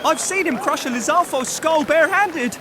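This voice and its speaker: A man playing an excitable fantasy spectator calling out from a crowd in an audio drama. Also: very high voice, moderate pace. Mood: excited. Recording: noisy crowd behind the voice.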